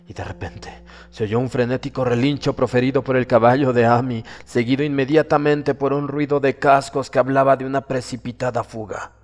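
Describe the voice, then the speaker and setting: A man giving a dramatic, suspenseful narration of a horror audiobook, with deliberate, theatrical phrasing. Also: mid-range voice, fairly even intonation. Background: music.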